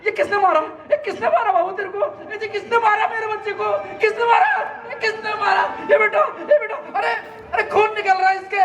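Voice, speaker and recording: A man's voice: very high-pitched, normal speed, hardly any pauses, crowd noise, voice pushed up. A man voicing a frantic, tearful middle-aged mother who wails and fusses over her son. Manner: panicked, wailing, fussing over her son. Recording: roomy echo, distant mic, music behind.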